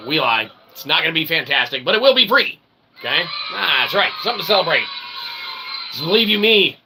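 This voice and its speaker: A man's voice: fairly high pitch, vocal fry. A man hosting a rambling comedic livestream in a self-deprecating mock-showman manner, often tagging lines with questioning little catchphrases. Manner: repeats tag phrases like "okay?" and "eh?". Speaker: livestream host.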